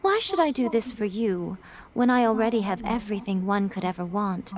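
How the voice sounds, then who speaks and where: A woman's voice: mid-range voice, lively intonation, brisk, close to an everyday voice. A woman playing a sly, poised, teasing shrine priestess in a video game, with coy and eloquent phrasing. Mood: cool, detached. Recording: music playing behind.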